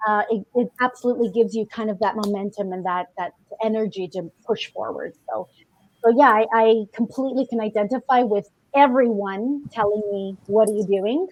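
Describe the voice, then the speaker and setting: A woman podcast guest from a Colombian family, telling her personal story conversationally in an animated way. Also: mid-range voice, brisk, muffled recording. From Colombian household.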